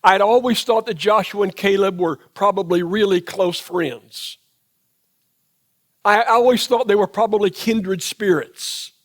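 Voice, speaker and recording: A man with a Southern US accent preaching a sermon in church, reflective and retelling scripture in his own words. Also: high-pitched. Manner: reflective, paraphrases scripture in first person. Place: church sanctuary.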